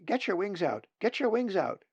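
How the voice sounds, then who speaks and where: A very high, shrill young raven nestling from a storybook. It is excitable and childish, clamoring loudly and quick to squabble. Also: male voice, vocal fry, husky, dry recording, lo-fi sound, exaggerated voice. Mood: excited.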